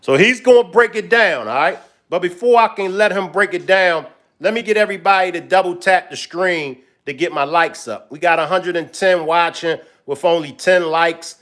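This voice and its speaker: A man's voice: sits high, moderate pace, fairly bright tone. Chatty man hosting a live stream. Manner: loose, chatty, talks straight to viewers. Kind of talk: casual live-stream commentary.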